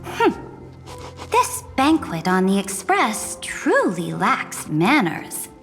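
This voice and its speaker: A woman's voice: medium pitch, huge pitch swings, takes it slowly, exaggerated voice. A woman voicing a haughty, regal young woman in a game who speaks in a grand, commanding manner. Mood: imperious. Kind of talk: game voice acting. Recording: long hall reverb. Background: soft music.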